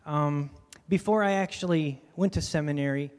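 A man from Michigan, a pastoral candidate, sharing his background in a mild, soft-spoken, rambling way. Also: on the high side, normal speed. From Michigan, USA. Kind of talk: casual personal testimony. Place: church hall. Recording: reverberant hall audio.

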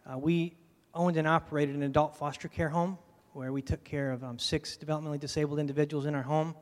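A soft-spoken man with a fairly high voice talks about himself before a congregation. His mild, rambling speech carries a long reverb from the hall around him. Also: level tone, fast. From Michigan, USA. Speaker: pastoral candidate. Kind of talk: casual personal testimony. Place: church hall.

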